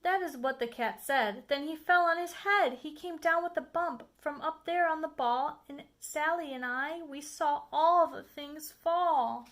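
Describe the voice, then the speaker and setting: A woman reading a children's story aloud as an expressive narrator, with emphatic, dramatic phrasing. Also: high voice, measured pace, few pauses. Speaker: storybook reader.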